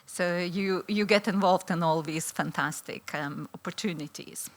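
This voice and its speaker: A woman's voice: lowish voice, measured pace. A middle-aged woman with a Lithuanian accent giving a conference talk, hesitant with many fillers and restarts. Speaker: academic conference speaker. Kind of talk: conference presentation.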